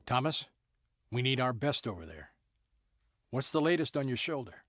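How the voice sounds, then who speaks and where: An actor playing a calm, caring lieutenant colonel who speaks directly to his airman. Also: medium pitch, normal speed, dry recording, studio quality. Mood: encouraging.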